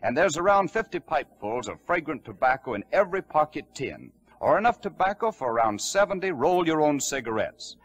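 A man's voice: a little high, big pitch swings, a little fast, hardly any pauses, breathy edge. A man announcer reading a sponsor's ad in an emphatic salesman's delivery on an old 1940s radio broadcast.

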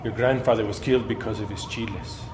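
A man's voice: fairly even intonation, fast, lightly acted. A man playing a grave, insistent character revealing a family killing in an amateur feature film. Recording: low-budget film audio, loud music.

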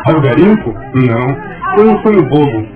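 Polite Brazilian suitor, tinny old radio. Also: male voice, a little high, sing-song, normal speed, far from the mic, a crowd in the background. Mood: matter-of-fact. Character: Vicente, polite, earnest male sports teacher courting a woman. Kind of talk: old radio soap acting. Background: music.

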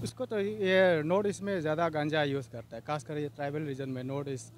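Middle-aged man, fairly high voice, earnest and repetitive, outdoors by a river, handheld mic. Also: fairly even intonation, a little fast, slight background noise. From Arunachal Pradesh. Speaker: vox pop interviewee. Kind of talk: street interview. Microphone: handheld interviewer mic.

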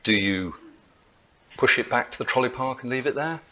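A man's voice: mid-range voice, animated, moderate pace, sharp tone, a little hiss or hum. A man in his sixties giving a lecture at a lectern, telling an anecdote with dry, wry humour, picked up by a lectern mic.

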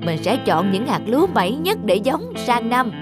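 A man's voice: very high voice, big pitch swings, moderate pace, over music. A man playing a hard-working, hopeful middle-aged rice farmer in an earnest, plain-spoken cartoon folk-tale style. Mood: pleased, determined.

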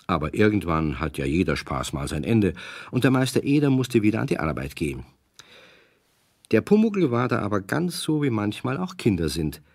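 A man narrating a children's radio play in a calm, storytelling manner. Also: low-pitched, dry recording, clean studio sound.

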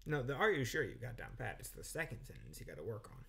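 A man's voice: mid-range voice, wildly swooping pitch, fast talker, gravelly, pushed and bright. A man casually coaching a performer through line readings as an audio drama director. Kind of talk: casual coaching during a voice recording session.

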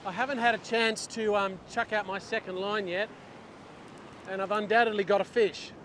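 A man's voice: high-pitched, moderate pace. Man teaching beach fishing outdoors. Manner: steady step-by-step explaining while working. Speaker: fishing instructor. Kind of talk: hands-on fishing tutorial. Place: open beach by the surf. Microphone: lapel mic. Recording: wind and surf noise on lapel mic.

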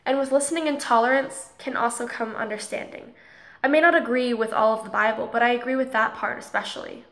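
A woman playing an earnest, principled student girl in a scripted drama, explaining things in a measured, preachy way. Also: a little high, fairly even intonation, fairly bright tone. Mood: calm, earnest. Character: earnest, tolerant, principled transgender girl student named Holly. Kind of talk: scripted audio drama dialogue, speech-like monologue.

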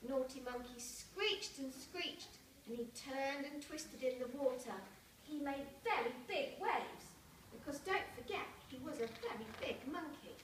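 High-pitched woman reading slowly to children. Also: a bit bright. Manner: simple, deliberate phrasing, checking in with young listeners. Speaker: storyteller reading to young schoolchildren. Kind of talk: animated picture-book read-aloud with asides to children. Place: large indoor primary school hall. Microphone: camera several metres away. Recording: echoey school hall, distant camera, noisy room.

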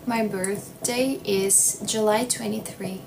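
A young woman whose first language is Ukrainian talks slowly and playfully, reading out viewer comments on a phone livestream. She drawls with plenty of "like" in a small room. A steady hiss of background noise sits under her voice. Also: medium pitch, vocal fry. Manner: slow, relaxed, playful, lots of "like". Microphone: phone at arm's length.

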